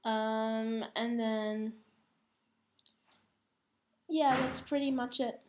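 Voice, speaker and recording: A woman's voice: fairly high pitch, fairly even intonation, extremely slow, dull audio, background noise. A woman speaking as a student in class, answering and reading aloud indoors.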